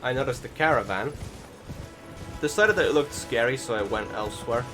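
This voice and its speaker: A man's voice: medium pitch, moderate pace. A man giving casual voiced-over commentary on his video game playthrough, rambling along in a self-deprecating way. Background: music behind the voice.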